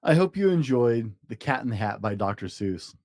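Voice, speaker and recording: Animated man reading a children's story. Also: mid-range voice, normal speed, no room sound, studio quality. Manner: expressive, emphatic rhyming delivery. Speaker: storybook reader, host.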